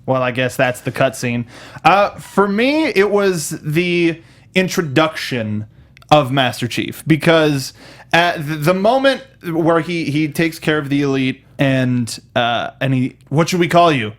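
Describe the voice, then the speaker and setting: A man hosting a casual film review, steering the segments in an informal, somewhat halting way. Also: on the high side, animated. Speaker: talk show host steering the segments.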